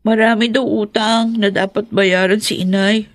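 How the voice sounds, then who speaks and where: An actress playing a meek, dutiful young daughter in a Filipino radio drama, hesitant, polite and subdued. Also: female voice, mid-range voice, level tone, measured pace, no room sound, studio quality, lightly acted. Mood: subdued, sad.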